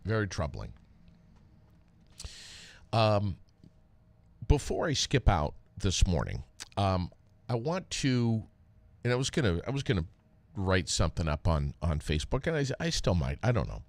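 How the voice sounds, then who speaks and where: A very deep-voiced American man hosts a casual solo podcast. He talks slowly, pausing often as he gathers his words. The sound is dry and close on the microphone. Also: very animated, no echo. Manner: halting, conversational delivery with frequent pauses. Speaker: podcast host, former radio DJ. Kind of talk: casual solo podcast talk with read sponsor plug.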